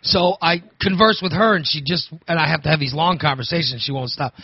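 A man hosting a talk radio show, hoarse from overusing his voice, rambling and restarting his sentences. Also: fairly high pitch, fairly quick, dry recording, lo-fi sound. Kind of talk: casual talk radio monologue.

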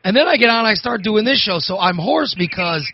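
A man hosting a talk radio show, hoarse from overusing his voice, rambling and restarting his sentences. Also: high-pitched, lively intonation, fairly quick, dry recording, dull audio. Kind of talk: casual talk radio monologue.

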